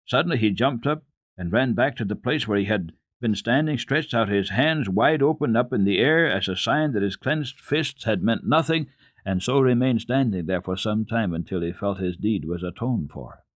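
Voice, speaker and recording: A man reading a children's book aloud as a radio storyteller, in a measured, expressive way. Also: on the low side, no room sound, studio quality. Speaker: storyteller, radio program host.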